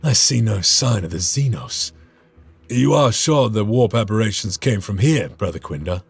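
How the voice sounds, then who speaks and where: An actor playing a stern space-warrior lieutenant who commands his brothers in battle, with a gravelly, raspy voice. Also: fairly low pitch, expressive, moderate pace, dry recording, soft background music, exaggerated voice. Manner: commanding, barks orders in battle.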